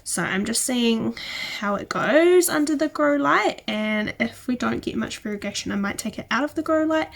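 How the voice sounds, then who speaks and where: A young woman with a New Zealand accent chatting to camera, a little croaky from a cold, enthusiastic and dipping into soft near-whispers. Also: fairly high pitch, animated, moderate pace. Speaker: plant vlogger. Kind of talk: casual chat to camera. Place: indoor room with plant shelves. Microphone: camera close in front.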